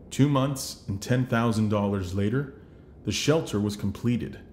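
A man's voice: on the low side, a little mellow, slight background noise. A man working as a voice actor, reading scripted narration for a demo. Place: home recording setup.

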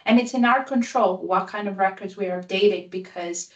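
A woman's voice: mid-range voice, fairly quick, muffled recording. A woman in her thirties from Greece and Italy with a Greek accent, giving an enthusiastic presentation as a guest speaker on a video call, with a light joking tone. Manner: upbeat, enthusiastic, self-deprecating humor. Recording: roomy sound, voice a bit far from mic.

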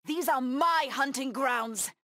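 A woman's voice: sits high, takes it slowly, bright, forceful tone, no echo, clean studio sound, full character voice. An actress voicing a proud, bloodthirsty cat-woman huntress villain in a video game, with menacing, taunting delivery. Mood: fierce, bloodthirsty.

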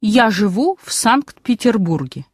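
A woman's voice of medium pitch, reading language course material. She goes slowly and enunciates each phrase with care. The recording is dry and close. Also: very animated, no echo. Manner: measured, carefully enunciated. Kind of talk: scripted language lesson, slow clear reading.

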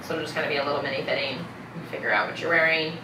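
A woman's voice: deep voice, steady tone, very fast. A woman casually explaining things to a group as its stylist. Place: office meeting room. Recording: echoey, distant mic, noisy room.

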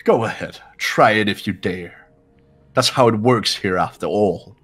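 A man's voice: on the low side, unhurried, a bit bright, slightly rough. A man voicing a haughty, cold young man in a video game, condescending and scornful. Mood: cold, taunting. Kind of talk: amateur video game voice-over. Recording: background music throughout.

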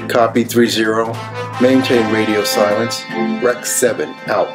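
A male squad leader in a motion comic, giving clipped tactical orders, as a man plays him. His voice is low and steady. Loud guitar music plays behind him. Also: unhurried, natural voice. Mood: commanding.

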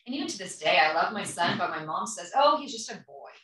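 A woman's voice: on the low side, very animated, fast, pushed and bright. A woman from Croatia speaking as a panelist, candid and blunt, telling a personal story. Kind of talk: panel discussion. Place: conference room. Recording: echoey room from far off.

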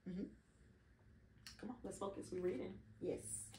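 A middle-aged woman reading a children's story aloud expressively, pausing to prompt and encourage a child. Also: medium pitch, warm tone, slight background noise. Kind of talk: children's read-along storytelling. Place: indoor living room beside a Christmas tree. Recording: roomy, echoing home recording.

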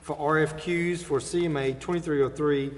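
A man's voice: fairly high pitch, fairly even intonation, normal speed. An American man acting as mayor, informally running meeting procedure and reading out agenda items. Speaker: mayor chairing a council meeting. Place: indoor council chamber. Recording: reverberant meeting room, faint noise.

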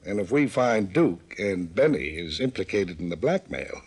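An actor playing a gruff, matter-of-fact police lieutenant in an old radio detective drama. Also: male voice, lowish voice, big pitch swings, measured pace, darkish tone, exaggerated voice. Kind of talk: old-time radio crime drama acting.

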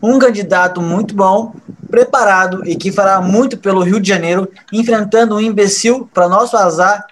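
Casting: actor as sardonic, resigned male political aide, high-pitched, audio drama. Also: moderate pace, a little hiss or hum, lightly acted, rough recording. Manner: deadpan, sardonic. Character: dry, resigned male campaign trainer, fatalistic.

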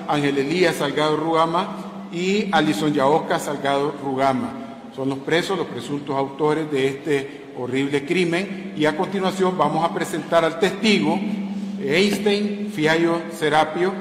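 A man's voice: fairly high pitch, steady tone, measured pace. A middle-aged man giving a formal police press briefing, speaking in an official manner. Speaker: police spokesman. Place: large indoor press room. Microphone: bank of press microphones on table. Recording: echoey hall with faint noise.

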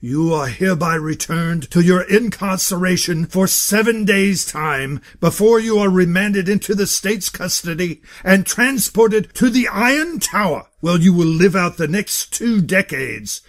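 A man's voice: high voice, unhurried, hardly any pauses. A man playing a stern, pompous court-martial judge in an audio drama, speaking in formal, declamatory oratory. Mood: grave, scornful.

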